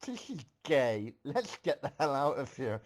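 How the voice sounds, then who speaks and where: A man voicing a rude, short-tempered pony mare, snappy and loud, in an amateur comic fan fiction reading. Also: medium pitch, very animated, a little slow. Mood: fed up. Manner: yelling, snappy.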